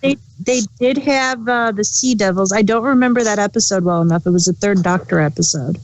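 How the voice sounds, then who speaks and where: A woman's voice: mid-range voice, a little fast, slight background noise, poor audio. A woman co-hosting a casual review chat, thinking aloud in a tentative way. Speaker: podcast co-host reviewing audio dramas.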